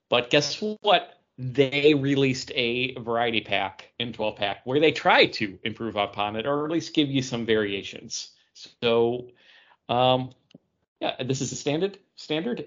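American man chatting casually, slightly muffled sound. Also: mid-range voice. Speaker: podcast co-host. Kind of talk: casual chat and beer review.

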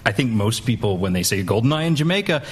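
A man's voice: medium pitch, very animated, quick pace, slightly rough. A man on a discussion panel, telling anecdotes in a casual, slightly hesitant, wry way. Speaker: panelist on a movie news show.

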